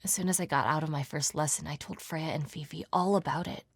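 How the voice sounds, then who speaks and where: A blunt, guarded young pianist from a mystery game. Her voice sits very low and crackles with fry, slightly husky. She speaks in a deadpan, matter-of-fact way. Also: fast talker, dry recording. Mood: wistful, flat. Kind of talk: video game voice acting. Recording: music behind the voice.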